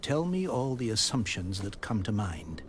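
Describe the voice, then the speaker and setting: A man's voice: lowish voice, big pitch swings, normal speed, faint music behind. A man voicing a proud, grieving older industrialist in a video game, speaking formally and sternly. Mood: pleading. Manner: formal, haughty and pleading. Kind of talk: game voice acting.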